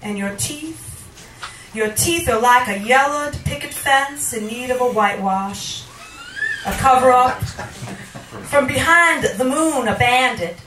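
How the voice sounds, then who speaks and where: A middle-aged woman reciting poetry live into a handheld microphone in a small bar, with dramatic, deliberate phrasing and pauses that sometimes turns sing-song. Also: high-pitched, far from the mic, music in the background. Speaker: featured poet at a reading. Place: small wood-paneled bar venue, indoors. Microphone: handheld microphone, filmed from across the room. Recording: roomy live recording from afar, background noise.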